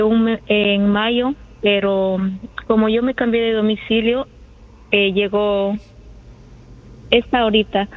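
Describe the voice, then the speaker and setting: A woman from Ecuador phoning a radio show, asking for advice in a hesitant, halting way. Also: on the high side. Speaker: caller. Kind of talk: hesitant phone inquiry. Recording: dull phone-in line.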